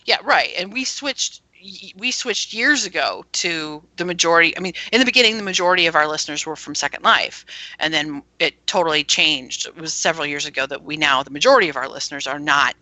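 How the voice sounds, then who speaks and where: A woman's voice: low voice, fairly quick, pushed and bright, no echo, poor audio. A woman speaking as an interview guest, explaining things through casual anecdotes. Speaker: guest, founder of an internet radio station.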